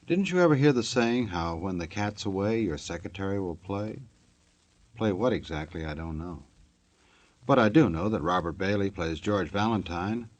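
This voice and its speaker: A male announcer from 1950s radio with a fairly low voice. He reads scripted patter in a tongue-in-cheek, joking manner. The sound is a dull, old broadcast recording.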